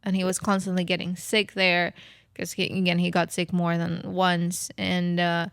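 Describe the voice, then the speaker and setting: A woman with a Ukrainian accent shares a personal story in conversation. Her voice is smooth and clear, recorded dry. She talks casually, pausing and repeating herself as she looks for words. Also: fairly low pitch, completely flat delivery, dry recording. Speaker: podcast host telling own story.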